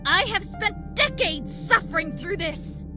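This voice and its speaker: A woman's voice: very high voice, expressive, unhurried, over music. A woman playing a sardonic, theatrical and exasperated villain rival in a visual novel game. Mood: obsessive, fervent. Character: Kiki, sardonic, dramatic, gun-toting female villain rival.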